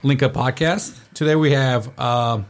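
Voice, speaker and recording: A man's voice: medium pitch, fairly even intonation, a little slow. A man hosting a podcast in casual chat, rambling with lots of fillers. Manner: chatty, rambling with many fillers. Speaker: podcast host.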